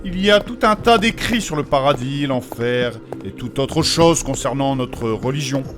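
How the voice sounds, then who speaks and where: A man's voice: medium pitch, lively intonation, normal speed, close to an everyday voice. A man playing a prim, pious and cautious mage in a French fantasy comedy audio drama, with a formal, fussy, earnest manner. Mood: insistent, explanatory. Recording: voice over prominent music bed.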